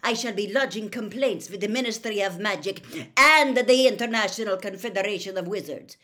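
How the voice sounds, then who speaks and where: A woman's voice: mid-range voice, brisk, sharp tone, voice pushed up, natural voice. A woman voicing a cold, disdainful male headmaster with haughty, mocking delivery in a storybook reading. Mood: indignant.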